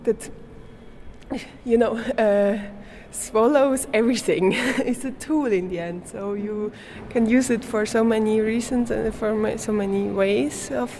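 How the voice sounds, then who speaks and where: Slow, halting young woman from Switzerland. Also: fairly high pitch, dark tone, slight background noise. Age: young adult. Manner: hesitant, searching for words, with small laughs. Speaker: performance artist, art student being interviewed. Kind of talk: casual interview in non-native English.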